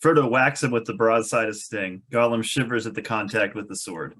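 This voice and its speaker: A man's voice: on the low side, fairly quick, a bit bright. A man reading out stage directions as narrator at a fantasy script table read, with a wry manner.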